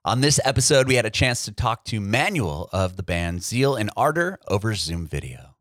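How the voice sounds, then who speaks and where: A man's voice: on the low side, sing-song, normal speed, fairly bright tone, no echo, clean studio sound. A man from San Diego hosting a music podcast, chatty and upbeat, with lots of fillers and restarts. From San Diego, United States. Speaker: podcast host. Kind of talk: casual interview chat and scripted intro.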